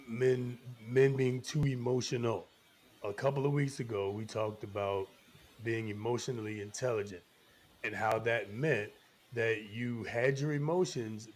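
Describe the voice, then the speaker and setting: A man's voice: mid-range voice, a little hiss or hum. A man co-hosting a casual discussion, explaining his opinion point by point. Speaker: podcast co-host.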